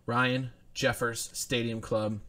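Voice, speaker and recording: A man's voice: medium pitch, little pitch movement, takes it slowly, background noise. A young man hosting a live card break, chatting casually as he rattles off player names while opening packs at a desk in a small studio. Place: indoor studio with branded backdrop.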